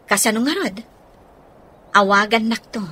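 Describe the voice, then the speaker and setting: A woman playing a concerned, protective adult in a radio drama, speaking in a reassuring, measured way. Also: mid-range voice, fairly even intonation, pushed and bright, breathy edge.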